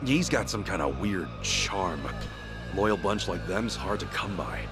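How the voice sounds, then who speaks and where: An actor voicing a brash, loyal, hot-blooded hero in an action video game, talking bluntly and casually. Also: male voice, low-pitched, expressive, a little slow, music in the background. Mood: determined.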